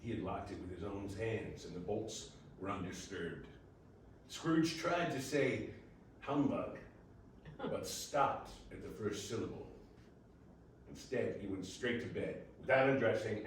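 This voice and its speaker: A man giving a dramatic, deliberate narration of a classic ghost story in a theatrical manner. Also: lowish voice, expressive. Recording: very echoey room, distant mic, faint noise.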